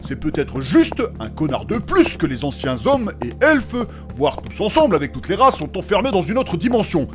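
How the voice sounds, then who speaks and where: A man's voice: high-pitched, big pitch swings, normal speed, hardly any pauses, music in the background. A man playing a clever, skeptical, argumentative adventurer in a comic fantasy audio drama, blunt and colloquial.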